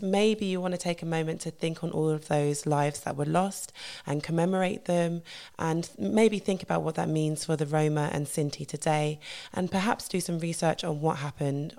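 A woman hosting a history podcast, talking casually with a husky voice, many fillers and quick self-corrections. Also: deep voice, darkish tone. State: husky voice from a tiring weekend. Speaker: podcast host. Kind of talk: casual educational podcast talk.

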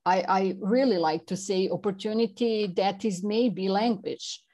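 A woman from Serbia with a Serbian accent, speaking English as a second language as an interview guest, halting with many fillers and restarts. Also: medium pitch, dark tone. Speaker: interview guest, chapter representative. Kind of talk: non-native conversational English interview.

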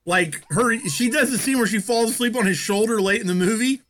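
A man co-hosting a film review chat, animated and jokey. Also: high voice, brisk. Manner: animated, jokey, acts out scenes in mock voices. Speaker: podcast co-host reviewing a film. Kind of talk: casual chat.

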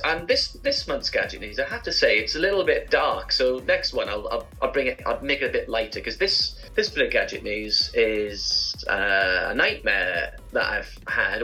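A man's voice: mid-range voice, bright, forceful tone, breathy edge, rough recording. A man co-hosting a chat show, telling rambling, humorous anecdotes in a casual style. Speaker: co-host presenting segments.

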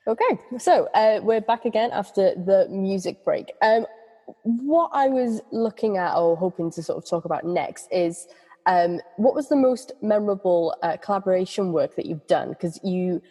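A woman's voice: mid-range voice, lively intonation, normal speed, mellow, slightly rough, in a large hall. A woman hosting an interview, easygoing and laughing through her links to the next segment. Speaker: host.

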